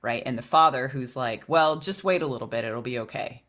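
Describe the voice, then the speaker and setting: A woman with a low voice and vocal fry, talking through spiritual ideas in a reflective podcast chat with many restarts. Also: brisk, a bit bright.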